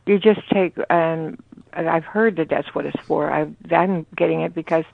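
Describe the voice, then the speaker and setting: A woman's voice: low-pitched, measured pace, gravelly, no echo. An older woman chatting on a late-night phone call, rambling with many hesitations. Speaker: prank call target. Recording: dull phone-line audio.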